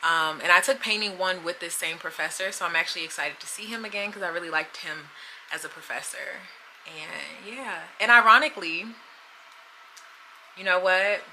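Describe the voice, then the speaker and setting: Bright-voiced chatty woman in her thirties. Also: lowish voice, normal speed, slight background noise, poor audio. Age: 30s. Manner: chatty, candid, laughs often. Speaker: vlogger. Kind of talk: casual vlog chat.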